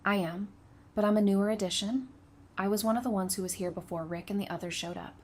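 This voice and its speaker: A woman's voice: mid-range voice, fairly even intonation, fast, darkish tone, natural voice. Woman acting a sassy survivor, noisy recording. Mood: earnest. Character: witty, sassy young woman, hardened apocalypse survivor. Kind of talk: fan audio drama dialogue. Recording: clear background noise.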